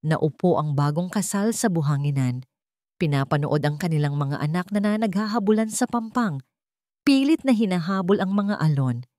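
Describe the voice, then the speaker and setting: Low-pitched woman narrating a dramatic story. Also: animated, moderate pace, warm tone, no echo, clean studio sound.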